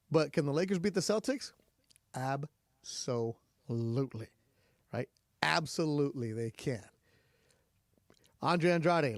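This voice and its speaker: A radio sports host with a fairly high voice that shoots even higher when he gets fired up. His delivery is excitable and chatty on a dry, close studio mic. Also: no room sound. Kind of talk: postgame radio call-in show.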